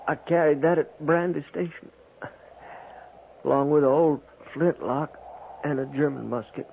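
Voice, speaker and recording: An actor playing a dying cavalry trooper and deserter seeking redemption, with folksy frontier speech, in 1950s radio western style. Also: male voice, fairly high pitch, steady tone, unhurried, creaky. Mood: weary, confessional. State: weak, dying. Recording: noisy, narrow-band 1950s radio recording.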